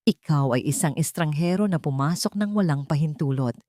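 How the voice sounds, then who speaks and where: A woman's voice: low-pitched, brisk, warm tone, no room sound, studio quality, natural voice. A woman voicing a wealthy young father who speaks coldly and firmly to protect his family. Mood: threatening. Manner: cold, firm, threatening.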